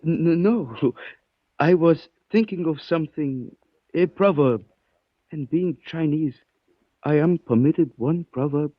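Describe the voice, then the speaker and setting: A courteous but dangerous Chinese avenger from 1940s radio drama. His voice is rough-edged and his phrasing formal and measured. The sound is dull, aged and close, with little room around it. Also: on the high side, no echo. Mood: calm menace. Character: polite, menacing Chinese man avenging his murdered father. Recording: muffled 1940s radio recording.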